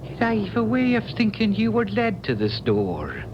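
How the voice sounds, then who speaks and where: An actor playing a mysterious, whimsical old Irish storyteller in a 1970s radio drama, with a theatrical lilting delivery. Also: male voice, high voice, huge pitch swings, moderate pace. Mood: amused, portentous. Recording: dull, hissy 1970s radio broadcast recording.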